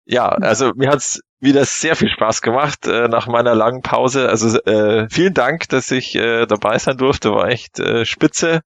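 A man chatting casually as a guest on a podcast, with a halting, hesitant way of talking. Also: medium pitch, fairly bright tone, no room sound.

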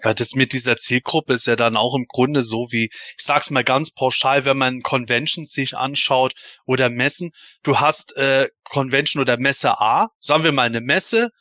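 A man's voice: mid-range voice, moderate pace, pushed and bright, no echo. A man hosting a casual podcast discussion, explaining in long, roundabout and qualified sentences. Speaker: podcast host.

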